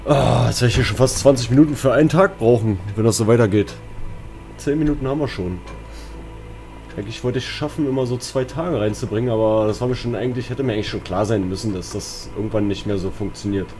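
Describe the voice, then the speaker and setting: A man's voice: medium pitch, quick pace, darkish tone. A man with a German accent giving casual, jumpy commentary on a horror game, often talking to himself and exclaiming. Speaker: let's player. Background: game music and sounds.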